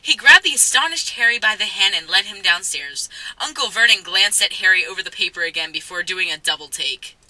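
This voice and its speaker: Animated, very bright-voiced woman narrator. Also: mid-range voice, a little fast, few pauses, no echo, low-quality recording. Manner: playful, mock-dramatic, stumbles over lines. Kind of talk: comic dramatic reading of fan fiction.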